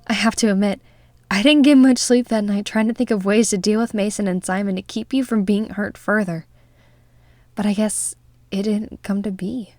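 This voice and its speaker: Woman acting an earnest young storyteller, medium-pitched. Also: darkish tone, no room sound, close to an everyday voice. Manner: confessional, addressing one listener. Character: earnest, troubled young female witness narrating memories. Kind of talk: scripted visual novel narration. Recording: music behind the voice.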